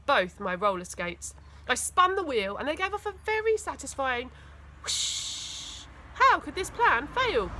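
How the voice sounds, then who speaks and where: A woman reading a children's story aloud with expressive, dramatic emphasis. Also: fairly high pitch. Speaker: school teaching assistant reading aloud.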